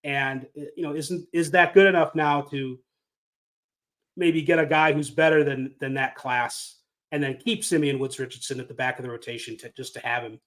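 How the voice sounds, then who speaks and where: A man's voice: medium pitch, steady tone, normal speed, fairly bright tone. A man co-hosting a casual sports podcast, thinking aloud and musing over trade ideas. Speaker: podcast co-host.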